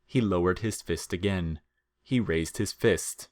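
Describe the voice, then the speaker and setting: Male narrator for humorous audio fiction, deep register, deadpan and measured delivery. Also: a little hiss or hum. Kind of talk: scripted comic fiction narration.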